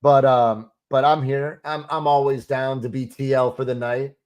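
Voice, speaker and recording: A man's voice: mid-range voice, little pitch movement, normal speed, darkish tone, smooth. Guy hanging out on a sports pod, easy banter, says stuff twice. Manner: easygoing banter with repeated words and restarts. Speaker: podcast guest. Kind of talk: casual sports podcast chat.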